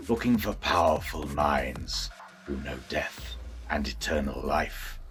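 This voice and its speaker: A male narrator puts on a sinister masked male villain in an audio comic. The voice is very deep and sinks into a breathy whisper. He speaks with grandiose menace over music. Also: pushed and bright, heavily acted. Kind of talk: audio comic storybook acting.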